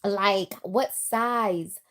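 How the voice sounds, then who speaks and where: A woman's voice: mid-range voice, extremely slow. Middle-aged bronx lady w a bit of southern drawl, chatty livestream talk, reading comments out. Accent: Bronx New York with some Southern. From the Bronx, New York. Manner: chatty, lively, talks straight to viewers. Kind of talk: casual livestream chat. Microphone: phone.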